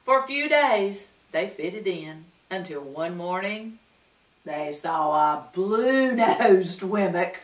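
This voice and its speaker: A woman reads a children's story slowly, her voice swooping up and down with expression and pausing to speak to the listeners. She sounds a little distant, in a room with plenty of echo. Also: lowish voice. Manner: expressive, slow, with asides to listeners. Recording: roomy room, distant mic.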